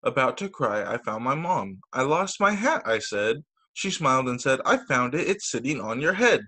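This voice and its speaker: A man, a teacher, reading children's stories aloud over a video call in an expressive, sing-song storytelling way. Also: mid-range voice, normal speed. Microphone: video call microphone.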